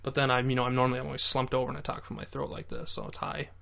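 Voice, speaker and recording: A man chatting as a podcast guest at an ordinary, mid-range pitch, with a gravelly, fry-laced edge to his voice. He talks in an animated way, swears freely and trips over his words, restarting phrases as he goes. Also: very fast. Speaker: guest, beatboxer and voice actor. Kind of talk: casual podcast chat.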